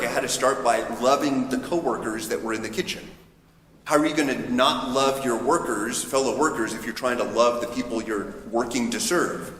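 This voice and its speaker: A man, a priest, giving a conversational, anecdotal homily in a reflective, somewhat halting manner. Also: medium pitch, fairly bright tone. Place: large reverberant church interior. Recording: echoey church, long reverb, background noise.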